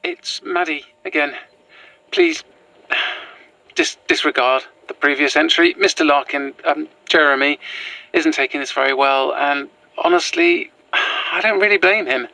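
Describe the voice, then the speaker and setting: Horror podcast lead: actress as earnest, nervous British woman on the run, bright-voiced, hesitant and apologetic, close dry sound. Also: low voice, unhurried, no room sound, full character voice, poor audio. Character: anxious, earnest young woman, former history teacher on the run. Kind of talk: found-footage horror audio drama.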